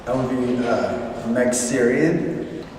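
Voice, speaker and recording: A young man answering interview questions in a halting way with many fillers. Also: mid-range voice. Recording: distant mic in a reverberant hall with noise.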